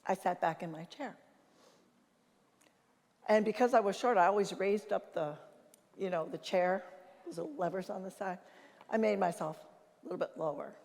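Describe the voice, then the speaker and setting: Older female conference speaker, mid-pitched, naturally loud, informal anecdotal delivery, long hall reverb. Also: normal speed, long pauses. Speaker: conference speaker and leadership consultant. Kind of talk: informal conference talk with anecdotes, answering questions.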